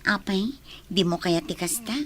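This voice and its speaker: A woman with an Ilocano accent playing a composed young village woman being courted in a radio drama, measured and coy. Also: medium pitch, lightly acted. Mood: firm, reasoning.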